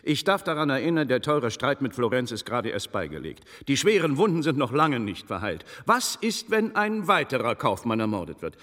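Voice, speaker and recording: An actor playing a shrewd, composed scholarly investigator in a German radio drama, speaking deliberately. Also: male voice, mid-range voice, big pitch swings, fairly bright tone. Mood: insistent, reasoning. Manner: measured, deliberate, probing questions. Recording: reverberant studio radio play sound.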